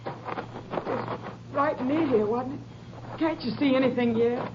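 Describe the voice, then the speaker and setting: Scared whimpering gangster girlfriend, very high voice, noisy old radio show. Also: unhurried, muffled recording. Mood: terrified, pleading. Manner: whimpering, pleading. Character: frightened, pleading young moll of a killer. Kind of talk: 1950s radio crime drama acting.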